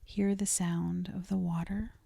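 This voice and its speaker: A woman narrates a guided meditation in a soft, lowish voice with a good deal of fry. She is gentle and deliberate, leaving pauses and easing off almost into a whisper. Her tone stays dark and relaxed throughout. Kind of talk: guided meditation, scripted and soothing.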